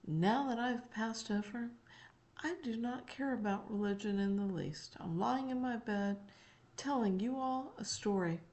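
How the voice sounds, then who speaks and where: A woman voicing a folksy, elderly gentleman and former president in an emphatic, deliberate, profane channeled monologue. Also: medium pitch. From North Carolina, United States. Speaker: spiritual channeler.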